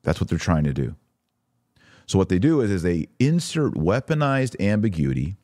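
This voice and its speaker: A man's voice: sits low, sing-song, normal speed, darkish tone, no room sound, clean studio sound. A man hosting a podcast of religious commentary, speaking emphatically with rhetorical questions and acted-out imagined objections. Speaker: podcast host. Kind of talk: religious commentary to a live online audience.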